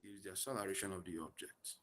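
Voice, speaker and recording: A man's voice: low-pitched, steady tone, quick pace, fairly bright tone, a little hiss or hum. A man tutoring physics in an online lesson over a headset on a video call, posing questions and answering them himself. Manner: asks leading questions and fills in the answers.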